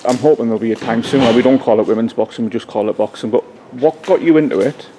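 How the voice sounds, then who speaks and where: A man interviewing a boxer with brisk, friendly questions. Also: mid-range voice, background noise. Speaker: boxing interviewer.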